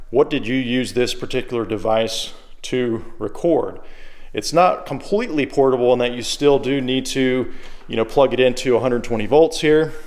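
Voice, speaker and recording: A man with a medium-pitched voice gives a casual product demonstration. He talks like a shop presenter walking a viewer through an item, explaining each part in a conversational way.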